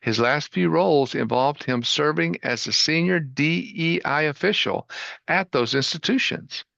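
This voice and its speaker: A man's voice: on the high side, unhurried, vocal fry, fairly bright tone, dry recording, dull audio. A man hosting an online show, introducing his guest with upbeat enthusiasm over a video call. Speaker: host.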